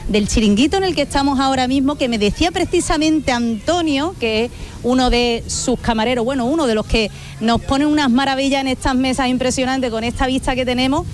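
A woman reporting live from a beach bar, lively and chatting with the people she interviews. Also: sits high, fairly quick. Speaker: radio reporter.

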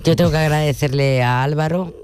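A man's voice: a little high, fairly quick, a little mellow, clean tone, dry recording. A man, an earnest and appreciative guest in a casual studio conversation. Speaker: radio guest.

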